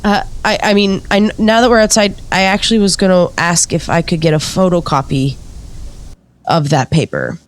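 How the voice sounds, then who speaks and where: A woman's voice: lowish voice, moderate pace, lightly acted. A woman playing a polite, curious investigator in an audio drama, asking tentative questions in an attentive, natural conversational way. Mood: nervous, tentative. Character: polite, curious female investigator gathering an eerie account. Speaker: interviewer.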